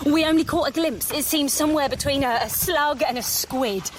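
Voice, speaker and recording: A woman acting as a female scientist gives an anxious field report in a radio play. Her high voice halts and stammers under stress, over clearly noisy outdoor ambience. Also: moderate pace, natural voice. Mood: shaken, awed. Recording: noisy outdoor scene with loud background ambience.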